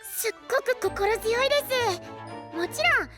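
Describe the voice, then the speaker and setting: Very high, sweet boy knight. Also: lively intonation, moderate pace. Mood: cheerful, eager. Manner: cute, polite, bubbly, refers to self by name. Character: small, earnest, eager young boy knight, sweet and polite. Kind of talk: Japanese anime-style voice drama. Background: background music.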